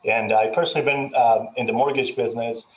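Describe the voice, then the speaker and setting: A Colombian-born man introduces himself as a mortgage professional in a friendly way, his pitch staying flat and even. Uhs fill his pauses. The sound is dull and lo-fi. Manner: friendly, with uh fillers. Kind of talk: panel introduction.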